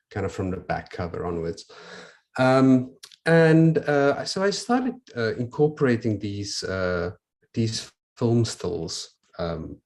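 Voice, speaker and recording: A South African man whose first language is Afrikaans, explaining his work in an informal, measured presentation with frequent pauses. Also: on the low side, darkish tone. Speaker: photographer presenting his photobook. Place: online video call.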